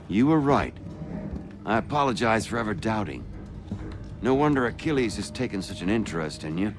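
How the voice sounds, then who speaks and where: An actor playing a genial, middle-aged colonial rebel mentor in a video game, speaking eloquently with wry, instructive phrasing. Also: male voice, fairly low pitch. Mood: amused.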